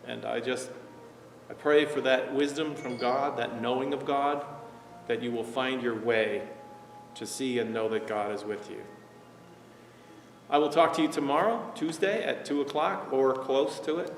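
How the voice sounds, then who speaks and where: Older pastor preaching from an armchair, roomy. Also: male voice, mid-range voice, unhurried, a little hiss or hum. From New Jersey, USA. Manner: reflective, gestures while talking, drops to a soft hush at key points. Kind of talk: conversational online sermon. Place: living room, seated in armchair. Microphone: far camera. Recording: roomy echo, distant mic, faint music.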